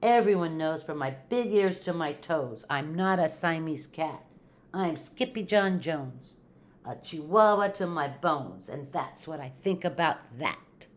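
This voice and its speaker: A woman's voice: sits low, animated, unhurried. A woman voicing a naughty, boastful kitten boy in a storybook, theatrical and peppered with mock-Spanish words. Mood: defiant. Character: boastful naughty kitten boy who believes he's a chihuahua.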